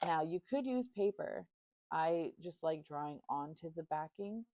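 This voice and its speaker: A woman in her thirties chatting casually and relaxed, with easy fillers and light laughter, telling a storytime while beading. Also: low voice, unhurried, dry recording, clean studio sound. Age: 30s. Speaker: crafter and vlogger. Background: faint chill music.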